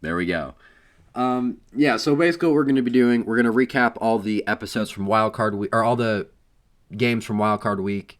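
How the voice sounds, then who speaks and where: A low-voiced man co-hosts a casual sports podcast, his voice hoarse from illness. He talks in a loose, teasing way, with plenty of ums along the way. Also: fairly quick. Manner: playful, boastful teasing with frequent ums. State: hoarse from being sick. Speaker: podcast co-host.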